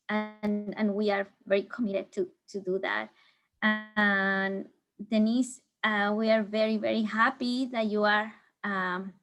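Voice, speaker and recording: A woman leading a video call meeting, rambling through long questions with many restarts. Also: on the high side. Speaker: program leader.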